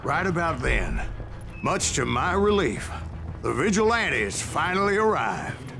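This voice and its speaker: Gravelly old gunslinger. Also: male voice, fairly high pitch, very animated, measured pace, background noise, full character voice. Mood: dramatic recounting. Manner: storytelling, folksy, self-assured. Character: grizzled, stubborn old gunslinger recounting his exploits. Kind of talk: dramatic game narration. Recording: music behind the voice.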